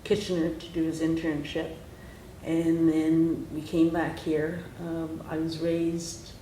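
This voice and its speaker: A woman's voice: low voice, flat delivery, slow, dark tone. A woman in her sixties from Hungary introducing herself at a roundtable, meandering and correcting herself as she goes. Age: 60s. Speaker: lawyer. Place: meeting room roundtable. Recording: echoey room, faint hiss.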